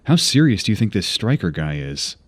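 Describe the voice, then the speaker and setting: A man's voice: on the low side, very animated, fairly quick, no echo. A man playing an earnest, decisive younger superhero with a direct delivery in an audio drama. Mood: relaxed, curious. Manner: casual, direct.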